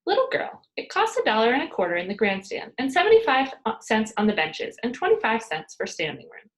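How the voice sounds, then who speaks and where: A woman puts on an old foreign ticket seller from a storybook. She speaks in a high, swooping voice, laying out the terms emphatically. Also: wildly swooping pitch, brisk, low-quality recording. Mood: businesslike. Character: suspicious, foreign old lady selling circus tickets.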